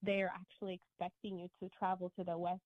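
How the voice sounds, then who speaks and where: A woman from Ethiopia, a guest author on a radio talk show, answering earnestly and in a measured way with frequent restarts, heard over a remote line. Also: fairly low pitch, level tone, no room sound. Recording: tinny phone-line sound, dry.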